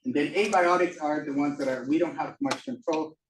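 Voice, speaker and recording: A man from Costa Rica giving a classroom lecture as a professor, halting with many fillers and restarts. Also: a little high, a little hiss or hum. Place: lecture room. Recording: echoey room from far off.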